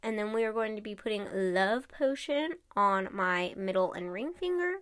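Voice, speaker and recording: A woman's voice: mid-range voice, lively intonation, moderate pace, a bit bright, no echo, studio quality. A woman with a congested, nasal voice from allergies, chatting casually through a nail tutorial with many fillers.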